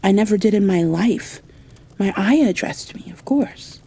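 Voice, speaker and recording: Woman acting a spoiled little girl, imperious, haughty, demanding, lifted pitch, storybook character. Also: expressive, unhurried, dark tone, husky. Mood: indignant.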